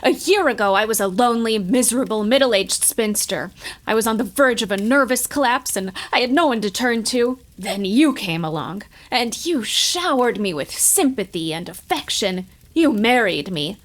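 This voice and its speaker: A wealthy middle-aged wife in a radio melodrama, played by a woman, with a fairly high voice. She is bitter and defiant, delivering her words with theatrical scorn. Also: lively intonation, moderate pace, lightly acted. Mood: scornful, bitter. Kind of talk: old-time radio drama acting.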